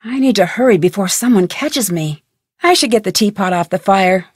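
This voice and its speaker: An actress playing a plucky, curious young detective who perkily talks to herself in a video game. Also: female voice, mid-range voice, lively intonation, normal speed, no echo, studio quality. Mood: curious, musing. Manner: perky, crisp, self-talking. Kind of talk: video game character lines.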